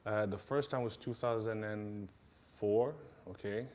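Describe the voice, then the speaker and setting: A man, a martial arts instructor answering interview questions in a relaxed way, with many fillers and repeated yeahs. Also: on the low side, measured pace. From Sweden.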